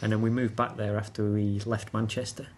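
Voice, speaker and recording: A man's voice: lowish voice, little pitch movement, a little fast, a little mellow. Chatty laughing man, faint hiss. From England. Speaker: guest. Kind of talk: casual chat.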